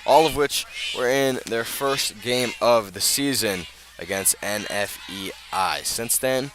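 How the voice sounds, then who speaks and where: An American man working as a radio play-by-play announcer, commentating live on a football game, measured between plays and swelling with the action. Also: medium pitch, background noise.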